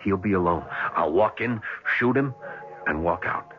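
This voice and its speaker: A man's voice: deep voice, expressive, moderate pace. An American actor in a 1970s radio drama playing a determined, vengeful man plotting murder. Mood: scheming, assured. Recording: dull vintage radio, music behind.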